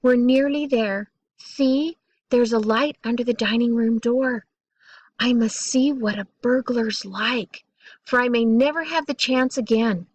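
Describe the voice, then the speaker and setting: A woman's voice: a little high, a little slow, dry recording, muffled recording. A woman voicing a bold, curious young girl, a protective and reassuring big sister in a storybook. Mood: determined, reassuring. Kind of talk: storybook read-aloud.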